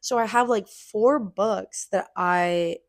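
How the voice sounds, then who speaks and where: A woman co-hosting a casual chat podcast, a little hoarse because she is losing her voice, rambling and cutting into her own sentences as she goes. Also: medium pitch, takes it slowly, dry recording, clean studio sound. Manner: rambling, self-interrupting. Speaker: podcast co-host.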